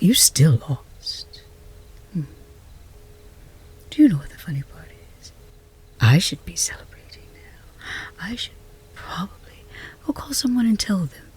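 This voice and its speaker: A woman performs a smitten, flustered female rival in a romance ASMR roleplay. Her voice is very breathy and whispery, unhurried and intimate. She teases and hesitates, with pitch that swings expressively. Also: big pitch swings, darkish tone, heavily acted. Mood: tender, hesitant. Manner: intimate, halting, teasing. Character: flustered lovestruck chess rival, wry, earnest, confessing.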